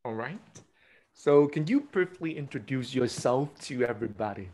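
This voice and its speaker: A man hosting an online teachers' meeting, calling on each teacher in turn and filling pauses with ums. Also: medium pitch, lively intonation, a little mellow, slight background noise. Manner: slow, hesitant with many fillers. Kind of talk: hosting a video call.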